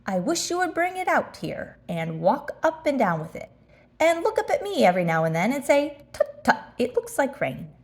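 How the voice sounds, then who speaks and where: A woman voicing an earnest, anxious little male toy bear in a children's storybook, speaking in a deliberate, declamatory way. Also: sits high, big pitch swings, pitched above the performer's own voice. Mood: conspiratorial.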